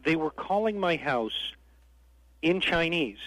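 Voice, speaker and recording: A man's voice: fairly high pitch, animated, slow, a bit bright, no room sound. A man calling in to a radio show, telling wry stories in a chatty way over a phone line. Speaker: caller. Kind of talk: phone-in storytelling. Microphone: telephone.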